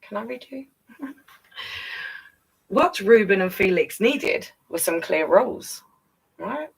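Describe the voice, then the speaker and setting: A woman's voice: mid-range voice, animated, takes it slowly, creaky, breathy edge, recorded from a distance, low-quality recording. A woman reading a picture book to young children, playfully breaking off for chatty asides and questions to the listeners. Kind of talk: read-aloud story time with chatty asides.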